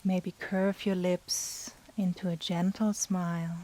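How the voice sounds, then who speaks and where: A woman guiding a yoga nidra meditation in a soft, breathy, soothing manner with long pauses. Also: on the low side, flat delivery, rough recording. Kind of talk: guided meditation.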